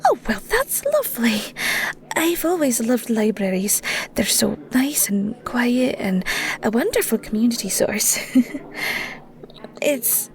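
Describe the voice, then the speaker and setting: Woman acting a bashful, slow-talking Scottish shepherdess. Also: a little high, sing-song, exaggerated voice. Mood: chatty, enthusiastic. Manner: bashful, stammering, rambling, giggly. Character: flustered, sweet young shepherdess with a shy crush. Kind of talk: intimate audio roleplay acting.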